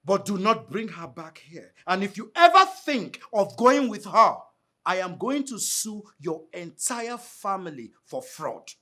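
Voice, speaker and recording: A man's voice: high voice, big pitch swings, unhurried, fairly bright tone, heavily acted. A Nigerian man playing an angry, domineering husband in a film drama, with a commanding, emphatic delivery. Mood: cold, commanding. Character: domineering, angry husband ordering his mother-in-law out.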